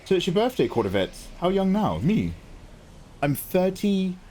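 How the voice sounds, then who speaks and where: Animated, swearing game streamer man in his thirties. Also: high-pitched, moderate pace, a little hiss or hum. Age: 30s. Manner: chatty, frequent swearing, greets chat by name. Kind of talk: casual live-stream chat while gaming.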